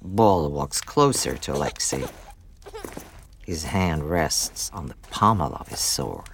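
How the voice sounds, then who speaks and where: A man's voice: very deep voice, animated, slow, gravelly, slight background noise. A man reading scripted scene narration for an audio drama.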